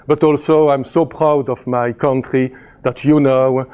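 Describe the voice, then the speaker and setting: A man's voice: medium pitch, fairly even intonation, takes it slowly, a little mellow, clear, resonant tone. A man in his fifties with a Belgian French accent, a chemistry professor giving a conference presentation, hesitant with many fillers and restarts and a dry sense of humor. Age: 50s.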